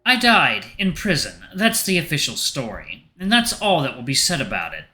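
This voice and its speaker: A tired, curt older man , put on by a male narrator, exasperated and guarded but protective. The voice has a hard bright edge in a story-game reading, with music behind. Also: a little high, huge pitch swings, moderate pace, pitched above the performer's own voice, exaggerated voice, low-quality recording.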